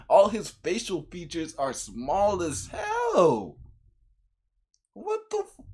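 A young man reacts casually in slangy, exclamatory bursts. His husky voice swings up and down, though he takes his time getting the words out. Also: sits high. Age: young adult. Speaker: reaction video host. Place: small room at home, indoors.

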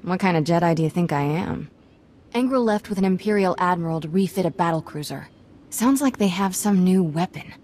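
An actress playing a plucky young Jedi apprentice in a video game cutscene, wry and irreverent. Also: female voice, lowish voice, lively intonation, brisk, background noise, lightly acted.

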